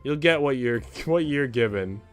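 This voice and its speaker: A man voicing a cool, reserved but quietly caring young servant woman from a visual novel, matter-of-fact. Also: medium pitch, animated, a little slow, clear, resonant tone, faint music behind, lightly acted.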